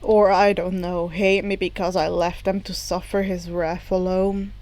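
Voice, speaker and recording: A woman voicing a guarded, surly young male villain who grumbles haltingly in a story reading. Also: lowish voice, flat delivery, a little mellow, voice pushed down, lightly acted. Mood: reluctant, gloomy.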